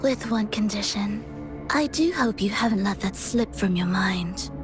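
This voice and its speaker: Music sits clearly behind a woman acting as a composed female game villain. Her medium-pitched voice is measured and formal, giving cool, stern warnings. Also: expressive, lightly acted.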